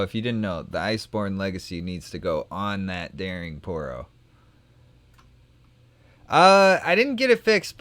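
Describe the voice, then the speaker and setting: Young man, casual streamer, smooth clear voice, rambling and self-correcting. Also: fairly low pitch, a bit bright. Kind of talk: casual gameplay chat and anecdote.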